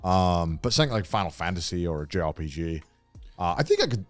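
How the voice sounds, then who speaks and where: Low-voiced man chatting on a podcast. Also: very animated, quick pace, soft background music. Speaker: podcast co-host; voice actor. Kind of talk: casual podcast chat.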